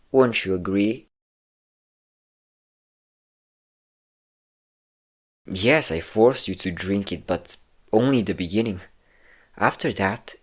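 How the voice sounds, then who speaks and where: A man playing a possessive, cocky vampire captor in an intimate roleplay audio drama, coaxing and smug, with theatrical endearments. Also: deep voice. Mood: smug, taunting.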